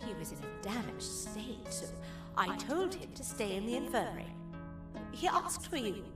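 A woman playing a giant, gracious kangaroo queen in a video game, speaking in a formal, gentle, regal manner. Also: fairly high pitch, sing-song, normal speed. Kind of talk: video game character acting. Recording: roomy, distant, under loud music.

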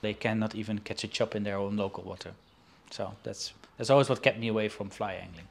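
Man in his forties, from the Netherlands, halting speech with fillers, video call from an indoor room. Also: lowish voice, steady tone, gravelly. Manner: halting, with frequent fillers and restarts. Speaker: guest artist and angler. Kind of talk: casual interview. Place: indoor studio room with shelves.

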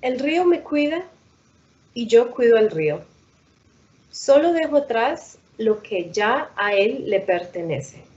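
A woman's voice: fairly high pitch, big pitch swings, unhurried, a little mellow. A woman co-reading a children's picture book aloud for a storytime over a video call. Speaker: storytime co-reader. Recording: dull lo-fi video call audio.

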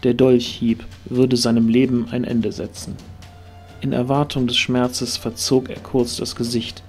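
Male fantasy audio drama narrator, medium pitch. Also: level tone, a little slow, a little mellow, soft background music.

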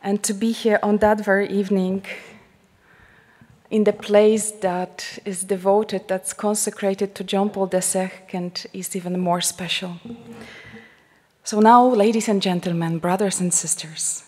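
A religious sister with a Polish accent giving a heartfelt talk to a congregation, slowing to a hushed tone when she prays. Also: mid-range voice, steady tone. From Poland. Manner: slow, heartfelt, drops to a hushed near-whisper in prayer. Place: large church shrine. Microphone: headset mic. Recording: long church reverb.

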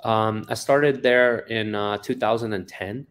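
A man's voice: fairly low pitch, fairly even intonation, unhurried, a bit bright, clean tone. A man in his forties from Afghanistan, a founder telling his background on a video call with many ums and uhs. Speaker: guest, company founder. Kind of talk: interview storytelling. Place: office indoors. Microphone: webcam on a video call.

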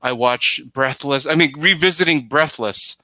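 A man's voice: on the high side, normal speed, bright, forceful tone, no echo. A middle-aged man chatting casually about films in a rambling, emphatic way, slipping into imagined quotes, on a headset in a video call. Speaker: podcast guest commentator. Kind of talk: casual film discussion. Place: indoors, plain room, video call.

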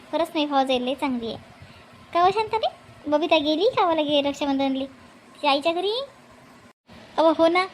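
A woman's voice: high voice, slight background noise, lightly acted. A woman voicing chatty, homely Marathi village women in a family comedy cartoon. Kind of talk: animated family comedy dialogue.

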